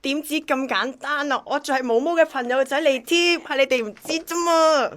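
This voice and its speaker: Woman acting young male courier, fairly high pitch, Hong Kong Cantonese, possessive, resentful, radio drama. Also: moderate pace, fairly bright tone. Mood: defiant, possessive.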